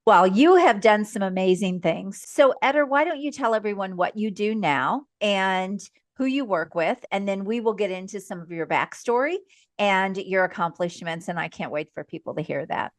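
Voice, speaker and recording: A woman's voice: medium pitch, expressive, normal speed, no room sound. A woman hosting an interview podcast who sounds upbeat and encouraging and affirms her guest often. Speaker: podcast host.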